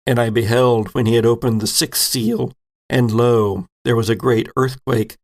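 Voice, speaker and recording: A man's voice: mid-range voice, fairly even intonation, moderate pace, close to an everyday voice. A man voicing a solemn prophet who recites apocalyptic scripture in a grave, portentous manner, as in an audio drama. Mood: grave, foreboding.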